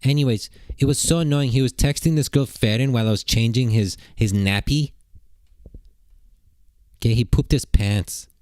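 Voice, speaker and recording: A man faking a high chattering housewife. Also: moderate pace, a little mellow, no room sound, close to an everyday voice. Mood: complaining. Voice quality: high falsetto. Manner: prattling, complaining, mock-sweet. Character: chattering young housewife and mother, fussy.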